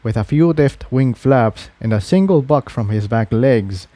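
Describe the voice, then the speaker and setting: Dark-toned man narrating a story. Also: medium pitch, animated, unhurried, dry recording. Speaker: narrator, fan fiction reader.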